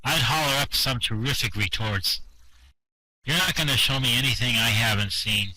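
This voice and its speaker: A man's voice: mid-range voice, moderate pace, sharp tone, dry recording, poor audio. An actor playing a boastful, worldly old man who swaggers through tall tales in a radio play reading. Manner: swaggering tall-tale bragging.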